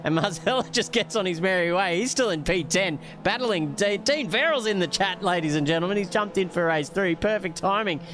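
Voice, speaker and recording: A man's voice: sits high, lively intonation, brisk, hardly any pauses, a bit bright, a little hiss or hum. An Australian man co-commentating a sim race in a rambling, slangy way with chuckles. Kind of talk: laid-back race commentary.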